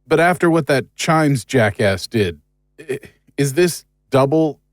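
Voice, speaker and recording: An actor playing an uneasy, suspicious, awkward team member in an audio drama, speaking hesitantly. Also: male voice, medium pitch, lively intonation, takes it slowly, no echo, clean studio sound. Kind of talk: naturalistic audio drama acting.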